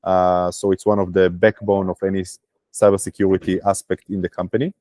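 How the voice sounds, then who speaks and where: Startup founder from Israel, low voice, dry close sound, halting casual delivery. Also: male voice, level tone, a little mellow, dry recording. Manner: hesitant, many fillers. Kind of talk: casual business video call.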